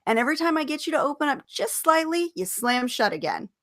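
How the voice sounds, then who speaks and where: A woman's voice: high voice, sing-song, fast, fairly bright tone, pitched above the performer's own voice. A woman reading the part of a feisty, sarcastic, impatient female agent, with pushy, emphatic questioning. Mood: teasing, pushy.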